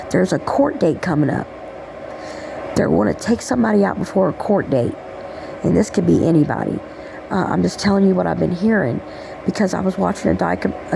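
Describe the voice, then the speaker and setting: A woman with a raspy voice giving a rambling, affectionate spiritual message, checking in with "okay?" as she talks. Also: lowish voice, noisy background. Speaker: spiritual channeler. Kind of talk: casual spiritual message monologue.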